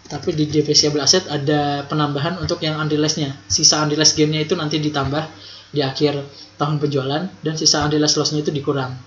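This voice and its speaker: A young man gives an informal tutorial, speaking colloquially and repeating key points for emphasis. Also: a little high. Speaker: accounting tutor. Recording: roomy echo, dull lo-fi, faint noise.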